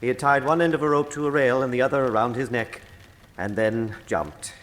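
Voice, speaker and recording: A man's voice: mid-range voice, a little fast, a bit bright, slight background noise, lightly acted. A man playing a composed, learned cardinal in a radio drama, speaking formally with a conspiratorial air. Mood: grave. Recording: long hall-like reverb.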